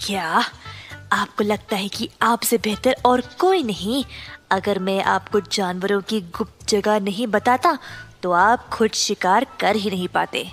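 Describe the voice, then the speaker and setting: A woman's voice: mid-range voice, a little slow, soft background music, natural voice. A woman voicing a cunning fox servant in a children's cartoon story. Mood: scornful, scheming. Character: cunning fox servant, flattering yet secretly scornful.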